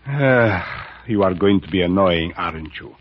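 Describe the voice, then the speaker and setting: A man's voice: low-pitched, lively intonation, moderate pace, slight background noise. An actor playing a sinister, silky, mockingly courteous cult priest in an old-time radio drama, drawing out his words with theatrical relish. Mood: amused, taunting. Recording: muffled vintage radio recording.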